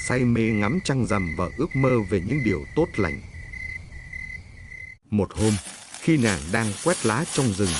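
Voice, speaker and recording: A man's voice: on the low side, noisy background. A man reading a scripted fairy tale as a storyteller. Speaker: storyteller narrator. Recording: music playing behind the voice. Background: prominent background music.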